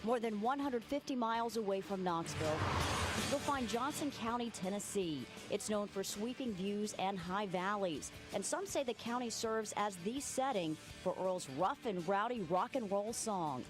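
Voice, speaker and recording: A woman's voice: mid-range voice, animated, normal speed. A woman reporter reading scripted narration for a television news feature. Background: music.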